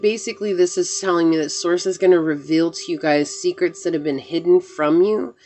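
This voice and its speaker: A woman giving an earnest, reassuring collective tarot reading to camera, talking straight to her viewers. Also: deep voice. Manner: earnest, reassuring, addresses viewers as "you guys". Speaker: tarot reader.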